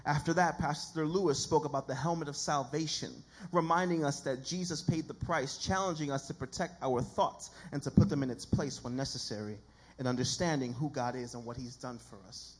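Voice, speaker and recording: A man with a husky voice preaching an informal, colloquial sermon to a church congregation. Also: fairly high pitch, brisk, few pauses, a little hiss or hum. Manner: colloquial, self-deprecating, animated mimicry of dialogue.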